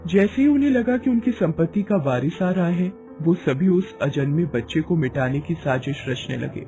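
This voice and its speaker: A man's voice: on the high side, expressive, moderate pace, mellow, soft background music. A man narrating a scripted moral story in a dramatic storytelling manner.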